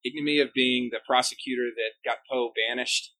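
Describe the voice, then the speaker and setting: Man, panel moderator, bright voice, joking, laughs often. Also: mid-range voice, fairly even intonation, fairly quick, poor audio. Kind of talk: convention panel chat.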